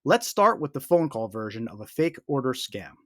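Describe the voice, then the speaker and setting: A man reading a scripted explainer as host, with emphatic, deliberate delivery and short warning statements. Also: medium pitch, sing-song, a bit bright, dry recording, clean studio sound. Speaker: host of a scam awareness video.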